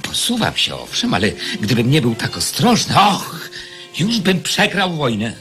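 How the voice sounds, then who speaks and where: A man's voice: a little high, huge pitch swings, a little slow, a bit bright, soft background music, exaggerated voice. An actor playing a haughty, impatient enemy king in a radio play, mocking and imperious. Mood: scornful, impatient.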